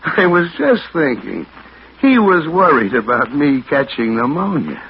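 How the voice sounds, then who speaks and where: Wry American reporter, vintage radio sound. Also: male voice, on the high side, big pitch swings, a little slow. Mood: brisk narrating. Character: wry, sharp newspaper reporter narrating his spy-hunt adventure. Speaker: lead actor and first-person narrator. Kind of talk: mid-century American radio drama acting. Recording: dull, noisy vintage radio recording.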